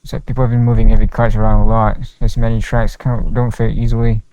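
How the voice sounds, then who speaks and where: A man's voice: fairly low pitch, flat delivery, fast talker, warm tone, smooth, no room sound, dull audio. A man live-streaming a game, reading its dialogue aloud in an informal way. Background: game music, faint.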